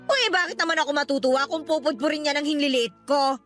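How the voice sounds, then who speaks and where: A woman's voice: high-pitched, quick pace, fairly bright tone, soft background music. A woman playing a resentful older mother in a Tagalog radio drama, talking in a sarcastic, needling way. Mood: sarcastic, annoyed.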